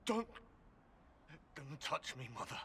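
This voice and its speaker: An actor playing a wounded, frightened young soldier in a fantasy game, strained with pain and distrustful. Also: male voice, a little high, very animated, unhurried, sharp tone, slight background noise, exaggerated voice. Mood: fearful, pained. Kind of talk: scripted fantasy game dialogue.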